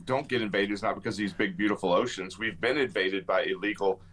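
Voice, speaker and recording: A man's voice: on the low side, fast, a bit bright, slight background noise. A man debating as a guest on a TV panel, insistent and contrarian, pressing his points over others. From United States. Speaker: political commentator guest.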